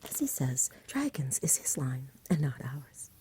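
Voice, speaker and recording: A woman voicing a sensible, kindly, homely country mother in a breathy, whispery ASMR storybook reading. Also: rumbling low, sing-song, unhurried, dark tone, slight background noise, full character voice. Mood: kindly, reassuring. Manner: homely, rustic, reasoning.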